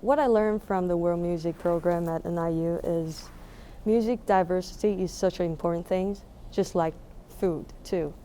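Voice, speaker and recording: A woman's voice: fairly low pitch, normal speed, few pauses, warm tone, a little hiss or hum. A young woman from Taiwan with a Taiwanese accent, answering interview questions casually and searching for words as she goes. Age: young adult. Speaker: musician being interviewed.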